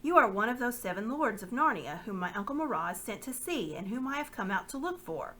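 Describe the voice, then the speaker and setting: A woman's voice: a little high, sing-song, brisk, fairly bright tone, slight background noise, pitched above the performer's own voice. A woman reading the part of a young boy king, speaking proudly and formally. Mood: regal.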